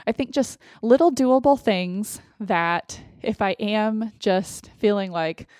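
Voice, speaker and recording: A woman's voice: medium pitch, slow, a little mellow, smooth, dry recording, clean studio sound. A young woman co-hosting a podcast in a casual, chatty style. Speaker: podcast co-host. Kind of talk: casual chat.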